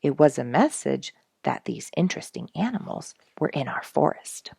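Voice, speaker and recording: A woman reading a nature storybook aloud in an expressive, measured, dramatic storytelling manner. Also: low voice, vocal fry, breathy edge, dry recording, clean studio sound. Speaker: audiobook reader and show host.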